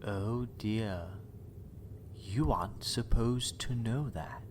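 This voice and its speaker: An actor playing a sinister doctor in an audio drama, formal and unctuous, with coolly polite menace. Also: male voice, fairly low pitch, slow, slight background noise. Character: sinister, coolly polite male doctor who experiments on people.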